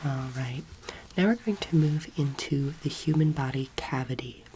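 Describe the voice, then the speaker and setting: A young woman speaking softly and breathily, often near a whisper, in a slow, gentle ASMR roleplay lecture as a teacher. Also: a bass voice, a little hiss or hum, poor audio. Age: young adult. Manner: slow, gentle, deliberate instruction.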